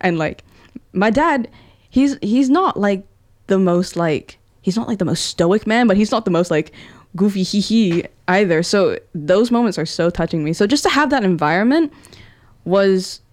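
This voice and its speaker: Young kiwi woman chatting casually, super lively pitch, playful and slangy. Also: normal speed. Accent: New Zealand. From Wellington, New Zealand. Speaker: indie musician, interview guest. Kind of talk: casual chat.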